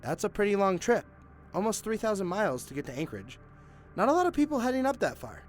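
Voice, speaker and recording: An actor playing a sarcastic detective who taunts a suspect in a mocking, drawn-out way. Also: male voice, high-pitched, big pitch swings, faint music behind. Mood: needling.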